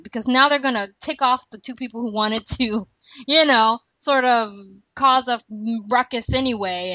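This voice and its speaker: A woman's voice: a little high, bright, forceful tone, no room sound. A woman hosting a podcast, chatting casually and colloquially with strong opinions. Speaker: podcast host. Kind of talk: casual TV discussion chat. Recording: dull, muffled lo-fi audio.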